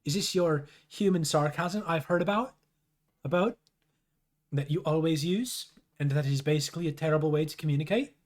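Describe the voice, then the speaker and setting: A man's voice: medium pitch, lively intonation, moderate pace, slightly rough. A haughty alien troll woman from a webcomic, acted out by a man. She is weary, disdainful and stilted, talking down to everyone as if it were a chore. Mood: condescending, irritated.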